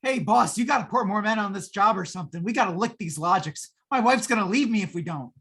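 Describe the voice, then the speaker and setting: Nervous working-stiff husband played by a woman, stammering and animated, old-time radio comedy. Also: mid-range voice, fast, slightly rough. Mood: harried, pleading. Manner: stammering, hesitant. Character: flustered henpecked working husband, a nervous repairman.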